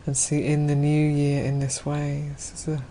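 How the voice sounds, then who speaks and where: A woman guides a meditation in a low, mellow voice. Her phrasing is gentle and spacious, with a soft, unforced delivery. There is faint ambient noise behind her. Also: monotone, moderate pace. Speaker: Buddhist nun leading a guided meditation.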